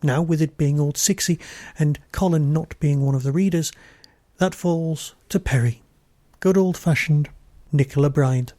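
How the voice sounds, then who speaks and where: A man hosts a review podcast with prepared commentary. His voice is a little high yet mellow, recorded close and dry. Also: unhurried, no echo. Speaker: podcast host.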